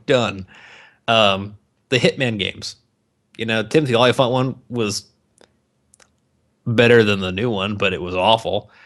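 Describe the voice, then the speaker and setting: A man co-hosting a podcast in casual, joking banter, animated and quick to swear. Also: lowish voice. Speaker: podcast co-host.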